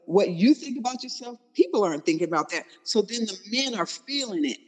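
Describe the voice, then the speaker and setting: A woman in her forties hosting a dating talk, frank and slangy, coaching with animated, blunt questions. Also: medium pitch, fairly quick, long reverb tail. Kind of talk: casual chat.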